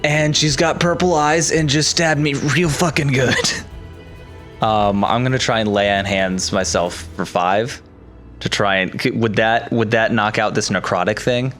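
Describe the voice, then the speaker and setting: A man playing a tabletop roleplaying game, chatting casually in a halting, informal, sweary way. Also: medium pitch, fairly even intonation, fairly bright tone. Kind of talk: casual improvised game chat. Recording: faint music behind the voice. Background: background music score.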